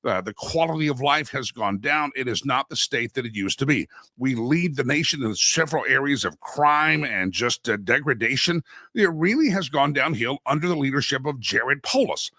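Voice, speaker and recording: Folksy male talk host, congested from a cold, bright and crisp, dry studio sound. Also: medium pitch, animated, moderate pace, no room sound. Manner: folksy, chatty, many restarts and asides. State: stuffy head cold, voice a bit sore. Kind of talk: casual political talk show monologue. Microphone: studio microphone.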